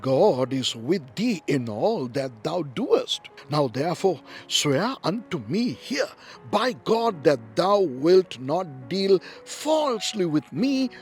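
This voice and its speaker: A man gives voice to an earnest biblical king with a formal, stately manner. He speaks slowly and emphatically in a fairly high male register, with light music underneath. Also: sing-song, exaggerated voice. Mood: solemn.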